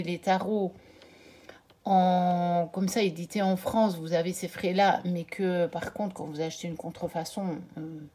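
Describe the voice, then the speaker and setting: A woman hosting her own channel, comparing two products in a casual, hesitant way with frequent filler pauses. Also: fairly low pitch, darkish tone. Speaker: channel host reviewing tarot decks.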